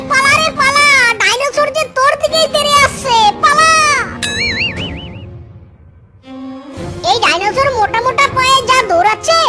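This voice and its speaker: An actor voicing a sly, greedy, lazy male cartoon fox, with an exclamatory, cajoling manner. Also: extremely high pitch. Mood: panicked. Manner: exclamatory, cajoling, catchphrase-laden. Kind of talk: exaggerated children's cartoon acting. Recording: music behind the voice.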